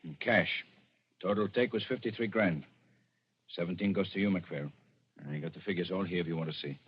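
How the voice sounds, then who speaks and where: An actor playing a firm, businesslike American gang boss in 1940s radio style, blunt and authoritative. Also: male voice, sits low, fairly quick, breathy edge. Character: firm, businesslike male gang boss, stern but placating. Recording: dull, noisy old radio recording.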